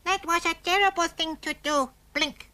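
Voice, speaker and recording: A man's voice: extremely high pitch, steady tone, moderate pace, pushed and bright, voice pushed up, heavily acted. A man voicing a small young alien child who speaks stiffly and formally, in a storybook reading. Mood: scolding.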